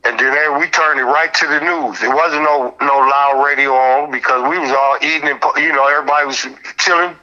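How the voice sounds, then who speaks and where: A man's voice: on the high side, fairly even intonation, brisk, hardly any pauses, bright, forceful tone, lo-fi sound. An American man recalling events in a casual interview, emphatic and repetitive, with plenty of slang. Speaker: podcast guest.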